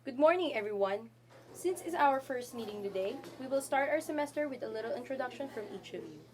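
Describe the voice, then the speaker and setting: A woman acts as a strict college teacher in an amateur radio play. Her fairly high voice is formal and sarcastic, with slight noise on the recording. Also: lively intonation, normal speed, natural voice. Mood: stern, cutting. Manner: formal, cutting. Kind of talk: amateur radio drama acting.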